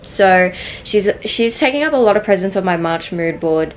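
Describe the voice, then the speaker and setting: A woman's voice: medium pitch, moderate pace, a little hiss or hum, poor audio. Woman, young adult, Australian accent, casual vlog narration, easygoing, filler-heavy. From Singapore. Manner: relaxed, chatty, many "like" fillers. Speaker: vlogger.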